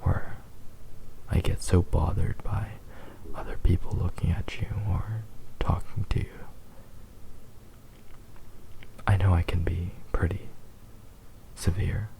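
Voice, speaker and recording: Guy doing a possessive fox demon husband for asmr, slow breathy half whisper, tender n doting, deep n gravelly. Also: fairly even intonation, warm tone, rough recording. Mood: gently jealous, soothing. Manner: slow, tender, soothing, halting. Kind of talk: intimate ASMR roleplay.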